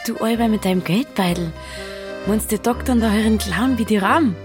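A woman's voice: mid-range voice, fairly quick, over music, close to an everyday voice. An actress playing an affectionate, teasing, plain-spoken young woman with a Bavarian accent in a radio drama.

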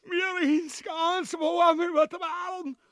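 An actor playing a cowardly, melodramatic wounded warlord who moans and whines in a grotesque radio comedy. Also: male voice, extremely high pitch, level tone, a bit bright, no room sound, studio quality, full character voice. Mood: wailing, self-pitying. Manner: wailing, drawn-out moaning.

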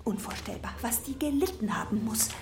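A woman's voice: on the high side, animated, brisk. An actress playing a chatty, emphatic woman gossiping in appalled tones in a radio play. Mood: appalled, gossipy. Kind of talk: naturalistic radio play dialogue. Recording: roomy, distant, faint noise.

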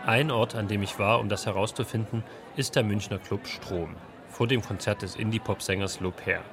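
A man's voice: on the low side, fairly even intonation, normal speed, music in the background, a crowd in the background. A man reporting for a radio culture magazine in scripted narration, reflective and posing rhetorical questions.

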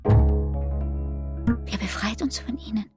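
An actress playing an anxious, brooding young woman in a literary radio play, speaking in a drawn-out way. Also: fairly high pitch, steady tone, loud music behind the voice.

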